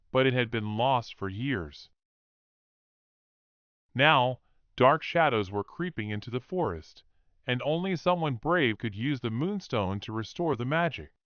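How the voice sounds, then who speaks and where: Male children's storyteller: unhurried, expressive, lively melody, dry close sound. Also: medium pitch, dry recording, highs cut off. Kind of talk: scripted children's story narration for English learners.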